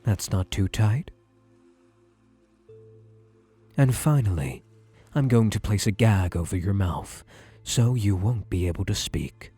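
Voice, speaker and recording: A man playing a possessive, doting therapist in an ASMR roleplay, speaking in a soothing, deliberate, hushed way with breathy murmurs. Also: on the low side, expressive, faint music behind. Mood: calm, controlling. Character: possessive male therapist, softly controlling, doting and obsessive.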